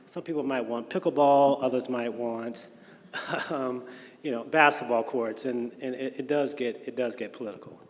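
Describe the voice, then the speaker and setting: A man's voice: medium pitch, normal speed. A man in the audience putting a conversational question to a panel. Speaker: audience member asking a question. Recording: hall reverb.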